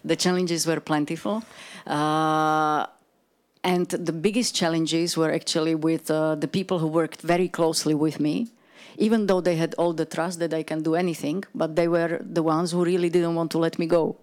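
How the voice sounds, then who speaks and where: Low-pitched Slovak woman, very even delivery. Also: moderate pace. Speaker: corporate executive, panel speaker. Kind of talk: telling own career story on a panel.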